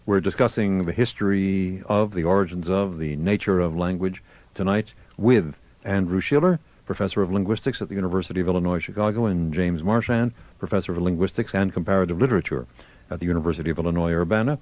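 A man hosting a 1990s call-in radio show, who briskly reads out the phone number and introduces guests. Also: low voice, a little mellow, dry recording. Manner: brisk announcer, gives the phone number, urges callers. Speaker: radio host. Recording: dull 1990s radio broadcast.

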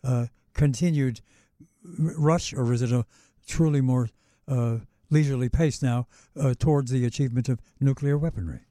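A man's voice: mid-range voice, steady tone, moderate pace, warm tone, no echo, studio quality. A man hosting an interview, asking long, winding questions full of hesitations. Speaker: interviewer host.

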